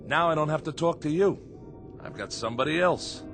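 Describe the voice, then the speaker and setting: A man's voice: a little high, a little fast, fairly bright tone, faint music behind, natural voice. A male actor playing a lonely, weary exiled convict in a radio drama. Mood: eager, excited. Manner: brooding in monologue, over-eager with visitors.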